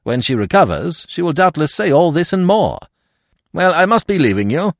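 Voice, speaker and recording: Loyal English secretary played by a male reader, blunt, dryly forthright, higher register, animated intonation, audiobook. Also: normal speed, creaky, no echo, studio quality. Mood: frank, puzzled. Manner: blunt, colloquial.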